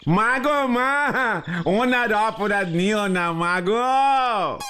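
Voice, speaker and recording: A man's voice: high voice, expressive, drawn-out words, pushed and bright, faint music behind, heavily acted. A man voicing an exasperated, mocking rustic cartoon character with a theatrical, wailing delivery. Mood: wailing despair. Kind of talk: comic cartoon acting.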